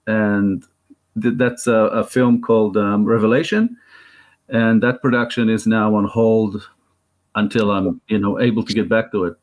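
A man in his thirties with an Israeli accent, a filmmaker talking as a guest in an interview, thoughtful and halting with many restarts. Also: on the low side, steady tone. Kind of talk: interview conversation.

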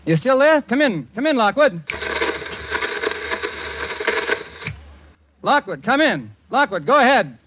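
Brisk engineer, high-pitched voice. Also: male voice, big pitch swings. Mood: urgent. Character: brisk, puzzled male engineer. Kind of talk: 1940s radio acting. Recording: dull, hissy 1940s radio recording.

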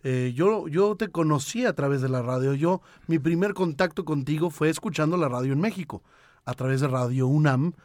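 A man interviewing someone conversationally, phrasing his questions in a halting, roundabout way. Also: fairly high pitch, darkish tone, no echo.

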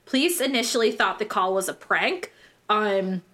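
A woman's voice: medium pitch, expressive, moderate pace, fairly bright tone. Giggly, sweary woman in her twenties. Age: 20s. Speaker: true crime podcast co-host. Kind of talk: casual conversational storytelling with profanity.

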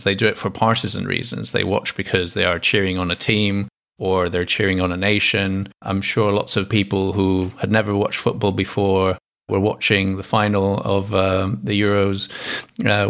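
A man from Greece with a low, gravelly voice hosts the conversation. He lays out long questions casually and pads them with fillers as he talks. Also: fairly bright tone. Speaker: interviewer, podcast host.

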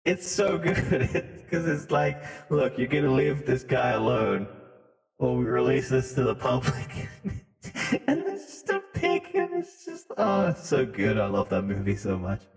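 A man's voice: mid-range voice, wildly swooping pitch, measured pace, creaky, faint music behind. A man co-hosting a podcast in casual, crude banter, swearing and teasing freely. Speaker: podcast co-host. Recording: echoey reverberant room sound.

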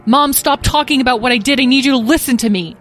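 A woman's voice: a little high, big pitch swings, quick pace, faint music behind, pitched above the performer's own voice. A woman voicing a defiant, angry young male dragon in a storybook, confrontational and demanding in manner.

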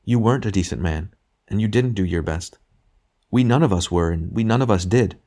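A man voicing a serene, joyful redeemed male spirit in an audiobook, speaking calmly and frankly with patient, gentle urging. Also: fairly low pitch, brisk, close to an everyday voice. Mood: frank, kindly. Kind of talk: literary audiobook character dialogue.

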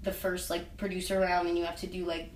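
A woman's voice: on the low side, little pitch movement, fast, slight background noise. Young woman rambling in an echoey room. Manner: rambling, heavy use of "like" and "um". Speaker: interviewee, singer and actress. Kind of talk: casual interview chat. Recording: echoey room, mic at a distance, faint ambience.

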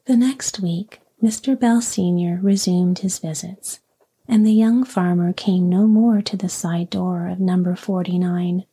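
A woman whispers a bedtime story in a husky, breathy voice, slow and soothing. Her tone is dark and mellow, with a faint gravelly fry. She reads gently, as if helping someone drift off to sleep. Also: on the low side, fairly even intonation.

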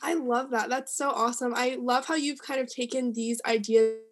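A woman's voice: on the high side, steady tone, normal speed. A woman co-hosting a podcast in casual chat, responding in an affirming, loosely worded way. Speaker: podcast co-host.

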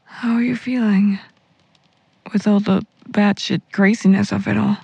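Slow, husky, tender young voice. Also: female voice, mid-range voice, steady tone, a little mellow, dry recording, muffled recording, heavily acted. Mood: gentle. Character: tender, awkward young person called Daniel. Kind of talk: intimate audio drama dialogue.

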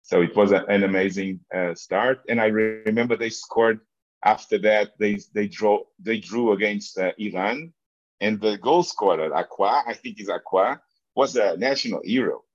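A middle-aged man with a Portuguese accent, recalling things in an interview in halting English, repeating words as he searches for phrasing. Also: on the low side. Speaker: interview guest, football business executive. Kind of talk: conversational interview answers, personal recollection. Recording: echoey room, dull lo-fi sound.

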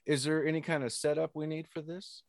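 A man's voice: fairly high pitch, flat delivery, fairly quick, dry recording, studio quality. A man hosting a casual interview podcast in an effusive, folksy manner. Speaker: podcast host.

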